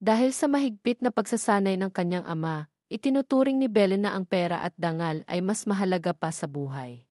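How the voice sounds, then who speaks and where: A woman's voice: mid-range voice, lively intonation, brisk, mellow, no room sound, studio quality. A woman with a Filipino accent reading a scripted dramatic story as its narrator.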